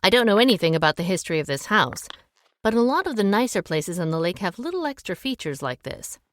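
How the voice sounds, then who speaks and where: An actress playing a shrewd, take-charge amateur detective with a brisk, wry way of reasoning aloud in an audio drama. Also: female voice, mid-range voice, sing-song, no room sound, studio quality, natural voice. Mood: wry, assured. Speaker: lead actor in an audio drama.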